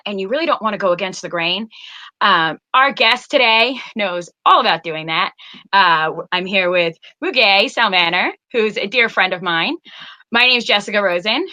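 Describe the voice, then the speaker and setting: A woman's voice: on the high side, bright, forceful tone. A woman guest-hosting an interview show, introducing her guest in a friendly way with lots of fillers. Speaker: guest host.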